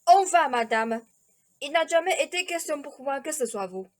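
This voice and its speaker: A woman's voice: sits high, expressive, moderate pace. A woman playing a stern, protective king and father in a student radio play. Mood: indignant, stern.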